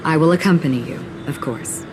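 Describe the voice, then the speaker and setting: An actress playing an aloof, soft-spoken, mysterious wanderer in a video game, detached and unhurried. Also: female voice, sits low, very noisy, close to an everyday voice. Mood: calm. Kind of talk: video game cutscene acting. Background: background music.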